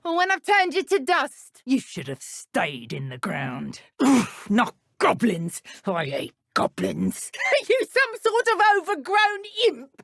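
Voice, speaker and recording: A woman with a British accent playing an arrogant, menacing dark witch poacher in a video game, with a theatrical, mocking manner. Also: high voice, wildly swooping pitch, takes it slowly, vocal fry, few pauses, no room sound, studio quality, exaggerated voice. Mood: mocking, fierce. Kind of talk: video game combat voice acting.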